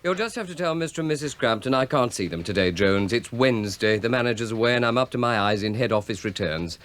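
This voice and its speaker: An actor playing a flustered, pompous British deputy bank manager in a radio play, fussy and obsequious. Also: male voice, medium pitch, expressive, quick pace, fairly bright tone, lightly acted. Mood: harried, grumbling. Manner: fussy, obsequious to rich customers.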